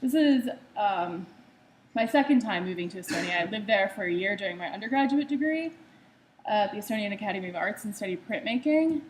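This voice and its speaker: Young woman, artist talk, casual filler-filled delivery, middle pitch, faint background hiss. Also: lively intonation, brisk, rough recording. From United States. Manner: conversational with frequent ums and uhs. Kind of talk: slide presentation talk.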